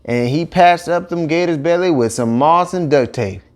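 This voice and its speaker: A man's voice: on the high side, normal speed. A man with a Cajun accent narrating a children's story in a folksy, colloquial way. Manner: colloquial, animated dialect delivery. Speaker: audiobook narrator.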